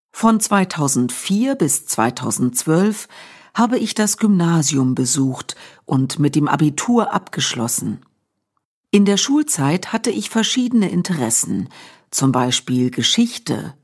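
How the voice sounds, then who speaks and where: A woman reading a first-person language-learning text aloud, with a careful, clear and evenly paced scripted delivery. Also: low-pitched, darkish tone.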